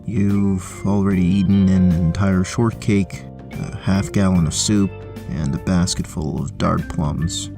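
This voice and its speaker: A man playing a young, unflappable dungeon inspector, formal, pedantic and deadpan, in an audio drama. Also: low-pitched, a little slow, faint music behind. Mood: formal, unruffled. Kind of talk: audio drama character acting.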